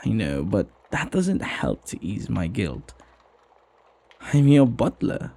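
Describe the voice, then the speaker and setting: Guy doing a flirty butler for asmr, slow n husky, doting on his master, formal wording. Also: on the low side, wildly swooping pitch, warm tone, heavily acted. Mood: concerned, guilty. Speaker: ASMR roleplay voice actor. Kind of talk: ASMR roleplay acting.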